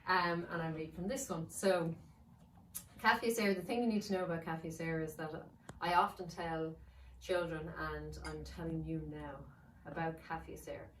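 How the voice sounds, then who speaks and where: A woman's voice: low-pitched, fairly even intonation, normal speed. A woman speaking as a children's author, storytelling and reading aloud for young listeners. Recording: roomy, distant mic, faint noise.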